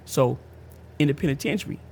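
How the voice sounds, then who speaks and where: Gravelly-voiced man in his fifties. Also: on the high side, brisk, slight background noise. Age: 50s. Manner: emphatic, slangy, repeats himself. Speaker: podcast host. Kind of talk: casual personal testimony.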